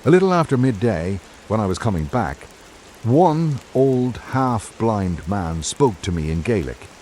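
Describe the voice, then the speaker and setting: Deep-voiced male radio drama narrator. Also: very animated, a little slow, dark tone, a little hiss or hum, poor audio. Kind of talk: scripted old-time radio narration.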